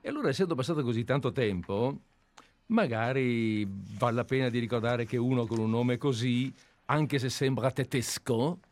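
A man hosting live radio from a studio, talking in an easy, rambling way and drifting into anecdotes. His voice is middle-pitched, and the sound is close and dry. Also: moderate pace, a little mellow, no room sound. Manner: chatty, digressive storyteller. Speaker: radio host.

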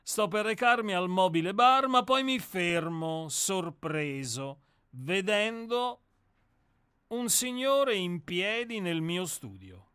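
Man voicing a brusque, hard-drinking novelist, bright crisp voice, slow delivery, dry sound. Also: sits high, big pitch swings, no room sound, full character voice. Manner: brusque, self-mocking first-person storytelling. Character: blunt, hard-drinking, fat bald male novelist. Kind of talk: amateur reading of a radio play.

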